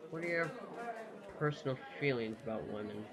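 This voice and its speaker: Guy doing a let's play, mid-pitched, reading game text out loud, crowd noise behind him. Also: expressive, a little fast. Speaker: gaming YouTuber.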